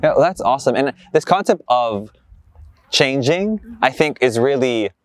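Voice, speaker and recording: Chatty young male host, medium pitch. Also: lively intonation, normal speed. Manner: chatty, frequent laughter. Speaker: host, interviewer. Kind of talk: casual interview hosting. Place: outdoors, in person.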